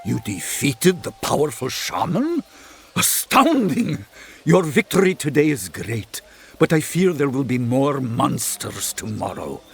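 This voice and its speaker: An actor playing an earnest, weary village leader in a video game, speaking imploringly. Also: male voice, medium pitch, sing-song, a little slow, creaky, husky, soft background music, exaggerated voice. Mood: grateful, worried. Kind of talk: video game character dialogue.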